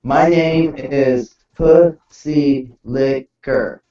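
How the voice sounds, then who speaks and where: A man puts on a friendly, one-eyed cartoonish emoji creature. The voice is mellow and slow, wanting only to be friends, in a muffled, roomy recording. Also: medium pitch, steady tone, far from the mic. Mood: casual, friendly. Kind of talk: improvised comic banter.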